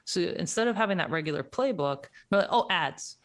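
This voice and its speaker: A woman's voice: on the low side, animated, moderate pace. A woman hosting a casual interview, talking in an informal, emphatic way. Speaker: interviewer, host.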